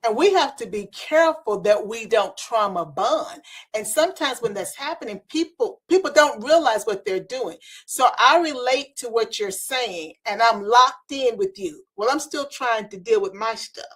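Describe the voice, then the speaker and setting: A woman's voice: on the high side, animated, moderate pace, husky. A woman hosting a podcast, chatty and storytelling, with playful asides. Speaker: podcast host. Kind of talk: casual podcast chat. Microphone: remote video call.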